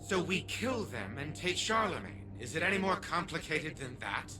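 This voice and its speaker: Pompous old ai patriarch in a video game, condescending and measured, music behind him. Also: male voice, a little high, big pitch swings. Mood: scornful. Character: arrogant, condescending old male patriarch, a machine mind.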